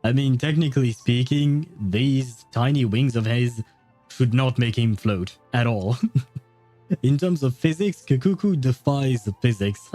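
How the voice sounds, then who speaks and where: A man's voice: medium pitch, a little slow, dark tone, soft background music. A man streaming a game, reading chat and chatting in a rambling, hesitant way with many fillers and frequent laughs. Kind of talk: livestream gameplay commentary, reading chat.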